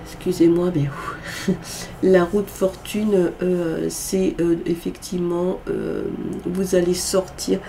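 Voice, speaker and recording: A woman's voice: on the low side, flat delivery, takes it slowly, creaky, warm tone, slight background noise. A woman with a cold reading tarot cards in a casual, hesitant way, with lots of filler sounds. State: has a cold, congested voice. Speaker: tarot card reader.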